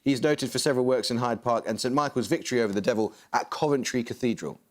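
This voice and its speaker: Brisk male quizmaster reading questions. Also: medium pitch.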